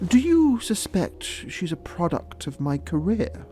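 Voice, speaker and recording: An actor playing a worldly, middle-aged father in an audio drama, smooth-talking, provocative and theatrical. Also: high voice, big pitch swings, measured pace, mellow, soft background music. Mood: coy, inviting.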